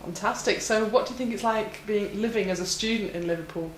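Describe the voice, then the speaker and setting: A woman's voice: mid-range voice, a little fast. A woman interviewing students, asking brief, friendly questions. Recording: echoey room, faint noise.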